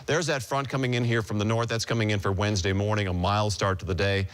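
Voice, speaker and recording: Low-voiced American TV weatherman. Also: fairly even intonation, fast talker, clean tone. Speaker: TV weather forecaster. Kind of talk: ad-libbed weather report.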